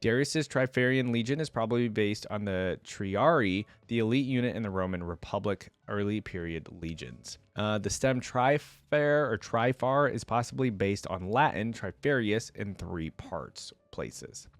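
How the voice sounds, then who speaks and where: A man's voice: on the low side, expressive, a little slow, few pauses, no room sound. A man hosting a reaction video, commenting in a casual, chatty, informal way with frequent interjections.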